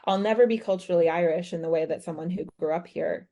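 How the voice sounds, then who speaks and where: A woman's voice: on the low side, quick pace, mellow. An American woman in her thirties, a guest on a podcast, talking thoughtfully with frequent restarts. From United States. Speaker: guest, author and retreat host. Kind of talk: casual podcast interview. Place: her home in rural Ireland.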